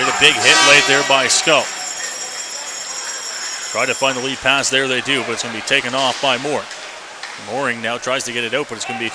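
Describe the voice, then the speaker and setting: Over clear background noise from an indoor rink, a man calls a hockey game live for radio. His pitch sits in the middle range for a man, and he follows the action in short, clipped phrases as a play-by-play announcer. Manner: clipped running phrases, excited shouts on big plays. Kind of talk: live sports radio commentary. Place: indoor ice hockey arena. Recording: noisy live arena broadcast.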